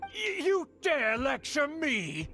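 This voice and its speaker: An actor playing a haughty, scheming noble uncle in a video game, pompous, stern and indignant. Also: male voice, extremely high pitch, huge pitch swings, slow pace, bright, forceful tone, soft background music, full character voice. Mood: outraged. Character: haughty scheming noble uncle, proud elder of a fallen clan.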